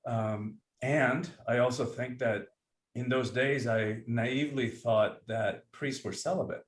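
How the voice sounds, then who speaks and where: An earnest, reflective man from Cuba talks through his ideas on a video call. He pauses and restarts as he searches for words. His voice rings with a roomy echo at a middling pitch. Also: steady tone. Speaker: guest author and breathwork teacher. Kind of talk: conversational interview. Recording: echoey room sound.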